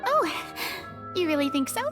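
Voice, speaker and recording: A woman playing a spirited, childlike young girl adventurer in a video game, speaking in an animated, earnest way. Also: high-pitched, normal speed. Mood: earnest wonder. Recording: background music.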